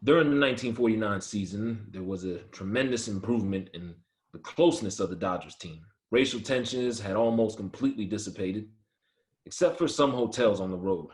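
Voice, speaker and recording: Man acting a low-voiced, proud ballplayer. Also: a little fast, reverberant, lightly acted. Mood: composed, reflective. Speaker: actor at a table read. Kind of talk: dramatic first-person script reading.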